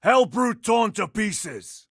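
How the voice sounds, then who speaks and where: A man voicing a furious, vengeful space marine in a video game, orating loudly and forcefully. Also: sits high, sing-song, takes it slowly, dry recording, studio quality, heavily acted. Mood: vengeful scorn. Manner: loud, forceful, oratorical. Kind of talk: video game battle barks.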